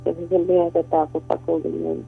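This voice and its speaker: A woman's voice: low-pitched, little pitch movement, a little fast, vocal fry, husky, narrow, tinny audio, faint music behind. A woman calling a radio show, talking casually and confessionally in an earnest, hesitant way. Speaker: radio show caller sharing a secret.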